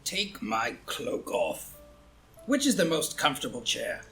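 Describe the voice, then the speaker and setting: A man's voice: medium pitch, wildly swooping pitch, unhurried, bright, forceful tone, faint music behind, heavily acted. A man playing a crusty, bossy old aristocratic father in a comedy play reading, brusque and scolding. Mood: gruff, demanding.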